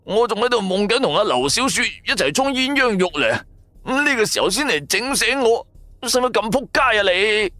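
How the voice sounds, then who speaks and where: A man voicing cowardly male sidekick, whiny, grumbling, crude, pitched up, music behind, radio play. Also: very animated, a little fast, bright, forceful tone, dry recording, heavily acted. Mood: sleepy, grumbling. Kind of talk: Cantonese radio drama acting.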